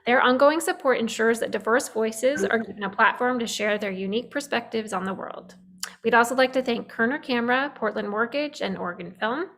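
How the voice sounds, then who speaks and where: A woman hosts a film Q&A, speaking a little higher than most. She is friendly and asks her questions in a loose, chatty way, often pausing with an um. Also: fairly bright tone. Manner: friendly, with frequent fillers. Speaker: festival Q&A host. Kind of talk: moderating a film Q&A.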